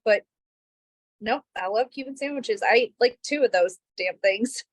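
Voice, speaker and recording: A woman's voice: on the high side, measured pace, no echo. A woman co-hosting a podcast, chatting in an easygoing, agreeable way over a video call. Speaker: podcast co-host. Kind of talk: casual chat. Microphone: recorded over a video call.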